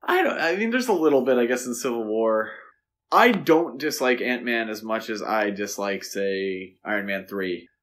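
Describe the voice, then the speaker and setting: A man's voice: lowish voice, expressive, normal speed, fairly bright tone. A man co-hosting a podcast, talking casually about films in a conversational way. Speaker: podcast co-host. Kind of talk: casual film discussion.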